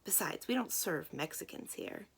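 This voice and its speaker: A woman voicing a hostile older waitress in a children's novel, speaking in a hushed, cutting way. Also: lowish voice, animated, normal speed, gravelly, slightly rough, full character voice. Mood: cold contempt.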